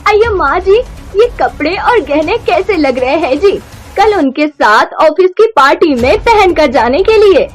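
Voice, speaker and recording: Casting: sweet young bride, acted by a woman, very high voice, meek and deferential, cartoon moral story. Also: animated, unhurried, soft background music. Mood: meek, polite. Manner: deferential, ending phrases with polite particles. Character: meek, sweet young South Indian daughter-in-law.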